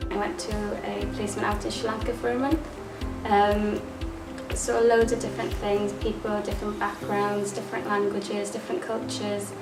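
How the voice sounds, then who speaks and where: Flat-voiced young woman, roomy. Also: medium pitch, moderate pace. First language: Welsh. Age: young adult. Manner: plain, even telling with fillers. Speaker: physiotherapy student. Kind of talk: casual talk to camera. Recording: roomy, distant mic, music behind.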